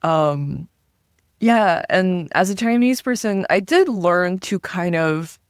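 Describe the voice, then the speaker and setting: A woman from Taiwan, a comedian and guest on an interview, telling stories in an animated, chatty way and acting out the voices she quotes. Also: fairly low pitch, takes it slowly, no room sound, clean studio sound. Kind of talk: casual interview storytelling.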